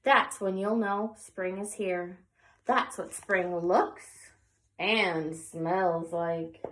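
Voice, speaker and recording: A woman reading the part of a patient, gentle mother in a picture book, explaining things to her child in a measured way. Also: lowish voice, fairly even intonation, voice pushed down, rough recording.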